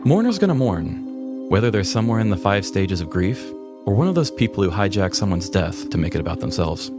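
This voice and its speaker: Guy podcast host, deep voice, casual storytelling, music under it. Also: sing-song, brisk. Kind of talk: casual podcast talk and narration.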